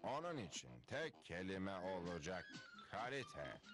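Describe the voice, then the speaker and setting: A man's voice: sits low, sing-song, a little slow, music in the background. A man voicing a proud, bossy cartoon caveman father in a dub, talking in a blustering, boastful way.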